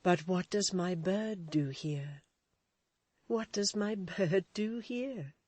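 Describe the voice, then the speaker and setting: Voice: mid-range voice, slow, darkish tone, no room sound, close to an everyday voice. A reader voicing a shaken, guarded mother. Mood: troubled tender. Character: troubled, shaken mother, tender yet guarded. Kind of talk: audiobook reading.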